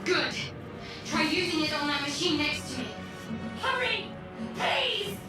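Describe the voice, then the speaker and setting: An actress playing a wary young woman in a video game, speaking with measured, dry composure. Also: extremely high pitch, animated. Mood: desperate, urgent. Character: guarded, wary young woman freed from captivity. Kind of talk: video game character acting. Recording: music behind the voice.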